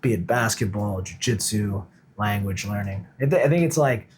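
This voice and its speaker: A man's voice: lowish voice, moderate pace, slight background noise. A man talking casually as an interview guest, explaining his points informally and emphatically like a coach. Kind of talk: casual conversational interview talk.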